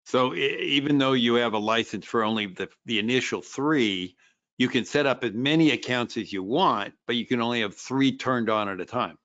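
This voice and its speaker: A man hosting a technical show on a video call, asking questions and summarizing answers with halting restarts. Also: mid-range voice, fairly bright tone, no echo. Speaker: host. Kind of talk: interviewing a guest on a video call. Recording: dry, dull lo-fi video call audio.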